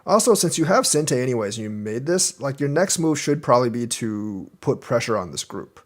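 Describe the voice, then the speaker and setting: A man's voice: mid-range voice, very animated, a little fast. A young man teaching a board game, casually reviewing a student's match and thinking aloud as he explains each move step by step.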